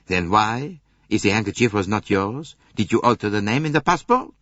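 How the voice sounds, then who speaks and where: A man voicing a shrewd, courteous detective, measured and probing, in an audiobook. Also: fairly low pitch, lively intonation, a bit bright, no echo, dull audio. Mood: courteous, skeptical. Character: shrewd Belgian male detective, polite, probing, unruffled.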